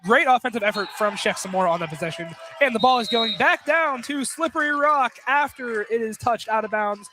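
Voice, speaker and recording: High-voiced man calling live basketball. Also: a little fast, hardly any pauses. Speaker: play-by-play basketball commentator. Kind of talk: live radio sports commentary. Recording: noisy live gym broadcast.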